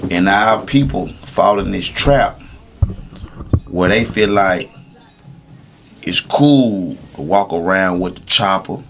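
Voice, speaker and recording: A man's voice: deep voice, takes it slowly, long pauses, fairly bright tone, a little hiss or hum. A middle-aged man in casual, slangy podcast conversation, swearing freely and repeating himself for emphasis. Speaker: podcast guest.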